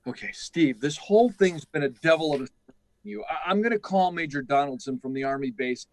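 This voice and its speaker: A man's voice: on the high side, lively intonation, brisk, dry recording. An actor playing a skeptical, exasperated, no-nonsense man in an old-time radio science fiction drama. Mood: concerned, skeptical. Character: skeptical, exasperated male friend of a pilot, no-nonsense.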